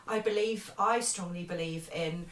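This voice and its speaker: Echoey, distant woman with lowish voice. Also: moderate pace. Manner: earnest, emphatic, repeats phrases. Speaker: interviewer, spiritual medium. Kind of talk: casual conversational interview. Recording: roomy, distant mic, background noise.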